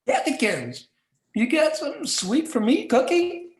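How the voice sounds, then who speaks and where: A man's voice: very high-pitched, big pitch swings, moderate pace. A man playing a lecherous, scheming crooked policeman in a campy 1940s radio noir on an echoey stage. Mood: leering, flirty. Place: live community theatre stage performance.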